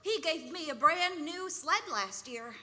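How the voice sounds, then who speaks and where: A woman's voice: high voice, animated, a little slow, sharp tone, slight background noise, exaggerated voice. A woman playing an eager, trusting little boy with a childlike voice in a live radio play.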